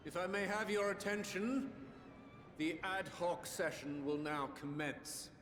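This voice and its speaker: A man's voice: high-pitched, unhurried, fairly bright tone, a little hiss or hum. An actor playing a formal male chairman who opens an official session in a video game. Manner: formal, ceremonial. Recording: roomy with long reverb.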